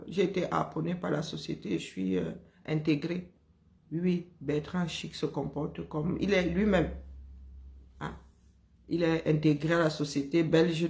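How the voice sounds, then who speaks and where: A woman from Cameroon with a Cameroonian French accent, chatting casually and telling lively stories, often breaking into laughter. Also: on the low side, unhurried, vocal fry. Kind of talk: casual chat.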